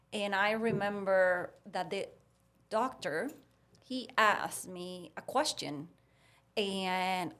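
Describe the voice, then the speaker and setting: Female podcast guest, native Spanish speaker with Puerto Rican accent, medium pitch, conversational storyteller who voices both sides of exchanges. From Puerto Rico. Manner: animated retelling with acted-out dialogue.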